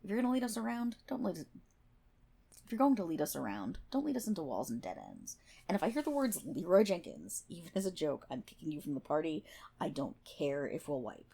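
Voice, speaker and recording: A woman's voice: fairly low pitch, huge pitch swings, a little fast, gravelly, warm tone, husky, heavily acted. A woman playing a prickly, sarcastic guild healer in an online game, scolding and swearing one-on-one in an ASMR roleplay. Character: brash, prickly tsundere MMO guild healer, a woman gamer.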